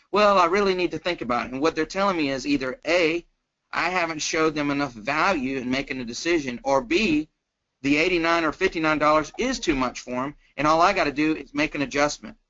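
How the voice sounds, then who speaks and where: Male guest sales trainer, down-to-earth and folksy, very bright edge, casual delivery, lo-fi call sound. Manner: plain-spoken, folksy, acts out sample pitches. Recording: dull, lo-fi conference call line.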